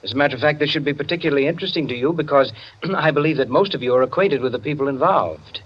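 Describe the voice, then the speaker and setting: An actor playing a sly clubman storyteller in a radio drama, speaking in a measured, deliberate, understated way. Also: on the high side, slightly rough. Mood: quietly intriguing. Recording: dull lo-fi radio sound.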